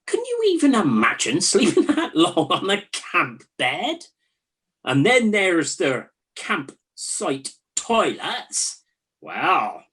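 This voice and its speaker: Comedy radio play: woman as gullible, chatty ancient soldier, very animated swooping pitch, unhurried pace, slightly husky. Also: full character voice. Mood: bored, wry. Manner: wry, conversational comic musing. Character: bored, gullible, chatty Trojan night-watch soldier in a spoof. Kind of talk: comic radio play acting.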